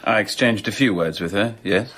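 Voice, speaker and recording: Courteous, wary gentleman. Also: on the low side, moderate pace. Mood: concerned. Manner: polite, formal, reserved. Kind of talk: radio drama acting.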